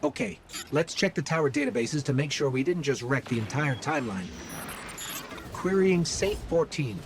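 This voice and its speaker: A man's voice: fairly high pitch, very animated, moderate pace, a little hiss or hum. A man voicing a wry, encouraging robotic companion spirit in a video game, conversational and earnest. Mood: brisk, relieved. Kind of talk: cinematic video game voice acting. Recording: music behind the voice.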